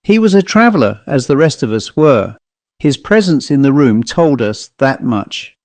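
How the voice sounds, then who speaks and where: A man's voice: mid-range voice, very animated, moderate pace, darkish tone, dry recording. A man reading a short story aloud as a scripted audiobook narrator.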